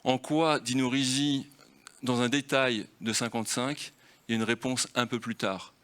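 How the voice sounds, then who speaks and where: A man's voice: medium pitch, steady tone, moderate pace, a bit bright, smooth. A man leading a festival round table on film, talking freely and explaining through rhetorical questions.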